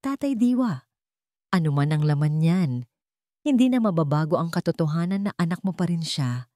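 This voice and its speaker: A woman voicing a gentle, wise young mother in an audio drama, earnestly consoling an older father figure. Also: lowish voice, expressive, normal speed, no room sound, studio quality, close to an everyday voice. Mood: gentle, reassuring. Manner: earnest, reassuring.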